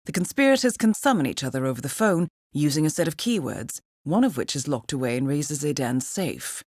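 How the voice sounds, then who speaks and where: An actress playing a composed intelligence handler who briefs an assassin in a measured, formal, understated way for a video game. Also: female voice, sits low, very animated, darkish tone, dry recording. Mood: composed, businesslike. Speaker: mission handler. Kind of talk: scripted video game voice acting, mission briefing.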